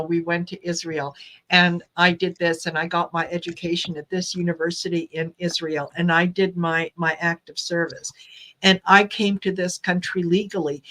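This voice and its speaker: A woman's voice: lowish voice, fairly bright tone, poor audio. A woman co-hosting a casual talk show, recounting at length with fillers. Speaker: co-host. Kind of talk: casual chat.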